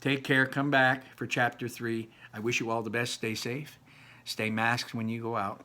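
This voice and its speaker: A man's voice: mid-range voice, level tone, moderate pace, bright, forceful tone. A man reading a children's story aloud and commenting informally, in an earnest, encouraging way.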